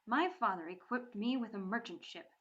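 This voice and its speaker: A woman's voice: medium pitch, moderate pace, dull audio, natural voice. A woman voicing a proud teenage Viking boy who talks in a haughty, commanding way.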